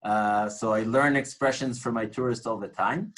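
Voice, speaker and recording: A man's voice: mid-range voice, fairly even intonation, moderate pace, fairly bright tone. Man lecturing, earnest and reflective. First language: Hebrew. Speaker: tour guide instructor. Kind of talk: informal lecture on guiding.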